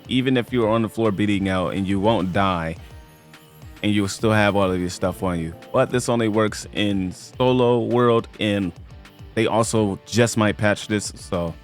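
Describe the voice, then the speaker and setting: A man narrating a casual game tutorial, laid-back and slangy, talking straight to his viewers. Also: fairly low pitch. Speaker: gaming video creator.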